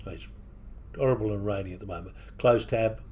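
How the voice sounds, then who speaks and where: A man with a mixed English and New Zealand accent, walking through software on his computer in a casual, hesitant way with many fillers. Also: on the low side, fairly quick, a little hiss or hum. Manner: hesitant, with frequent fillers and restarts. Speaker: software tutorial presenter. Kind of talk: casual screen-recording walkthrough. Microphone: desktop microphone at a computer.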